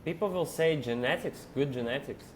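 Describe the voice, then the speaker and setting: Halting man, faint rumble. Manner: halting, many ums and restarts, non-native phrasing. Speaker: podcast co-host. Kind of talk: casual chat.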